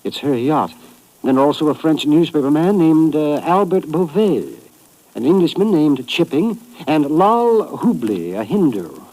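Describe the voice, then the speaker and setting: A man's voice: a little high, sing-song, a little slow, warm tone, exaggerated voice, rough recording. An actor playing a hesitant, earnest client who hides his real name, in a vintage radio drama.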